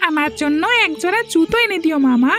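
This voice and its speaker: A woman voicing an eager, excitable little village boy in a cartoon. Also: high-pitched, fast talker, faint music behind. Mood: pleading. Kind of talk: Bengali cartoon voice acting.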